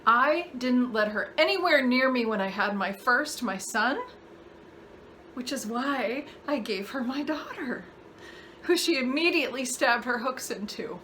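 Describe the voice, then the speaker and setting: A woman performing a dramatic monologue as a bitter, guilt-ridden mother, confessional, emotional and halting. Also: on the high side, animated, a bit bright, slight background noise. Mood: resentful, guilty.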